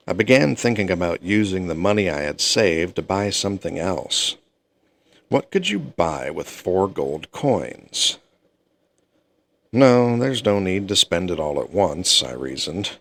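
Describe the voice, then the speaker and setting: A man with a very deep voice reads a fantasy novel aloud. He takes it slowly and steadily, keeping an even first-person tone from sentence to sentence. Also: creaky, no echo. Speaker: audiobook reader.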